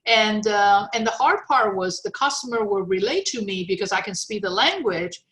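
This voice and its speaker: A woman's voice: medium pitch, expressive, moderate pace, fairly bright tone. Chinese-native woman telling a story. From China. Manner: thoughtful, many fillers and restarts. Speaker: guest. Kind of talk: interview, personal story.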